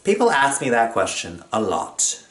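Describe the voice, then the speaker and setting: Man, from Jamaica, storyteller, lively rising and falling pitch, middle voice, expressive delivery. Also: moderate pace. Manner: expressive, acts out other people's lines. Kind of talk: personal storytelling performance.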